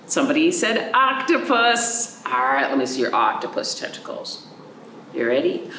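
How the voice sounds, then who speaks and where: Animated woman hosting storytime in a roomy space. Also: extremely low pitch, normal speed. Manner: sing-song, animated, addresses young listeners with questions. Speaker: library storytime host. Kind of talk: children's storytime read-aloud and chat. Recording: roomy, distant mic, faint background noise.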